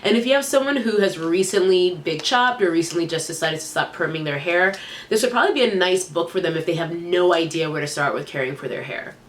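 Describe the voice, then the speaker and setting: A woman's voice: fairly low pitch, brisk. A young woman reviewing hair products in a chatty, upbeat vlog, sounding congested and nasal from a cold. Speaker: beauty vlogger. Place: small room indoors.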